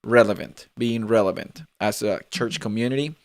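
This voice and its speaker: Chatty Peruvian-born man hosting, smooth voice. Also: on the low side, normal speed, no echo. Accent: mixed Latin American Spanish. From Peru. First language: Spanish. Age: 20s. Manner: chatty, repeats words, fills with "like". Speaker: host. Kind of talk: live conversational interview.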